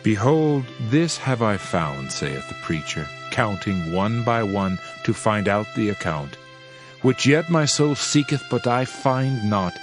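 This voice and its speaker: A man narrating a dramatized scripture reading in a solemn, measured way with archaic phrasing. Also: lowish voice, animated, music in the background.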